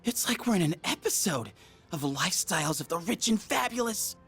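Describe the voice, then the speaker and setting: A man's voice: high voice, expressive, a little fast, fairly bright tone, faint music behind. A man voicing a boisterous, goofy teenage boy with slangy speech in a video game. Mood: awed. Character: goofy, excitable teenage boy, loud class clown. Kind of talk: animated video game dub.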